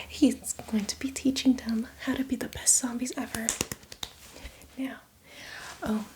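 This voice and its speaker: A woman playing a boastful, playfully menacing female zombie in a chatty, teasing ASMR roleplay, speaking in a breathy, hushed whisper. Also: a little high, slight background noise, close to an everyday voice. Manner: chatty, teasing, addresses the listener as victim.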